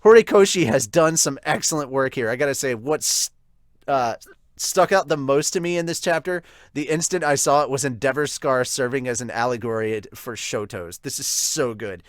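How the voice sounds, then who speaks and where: An excitable, giggly, sweary man with hiccups, hosting a casual manga review and reading out viewer comments. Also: mid-range voice, normal speed, no echo, clean studio sound. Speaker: host of a manga review show.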